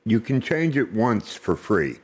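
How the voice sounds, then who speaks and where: Slow-talking low-voiced man. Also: big pitch swings, a little mellow. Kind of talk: casual chat on a live stream.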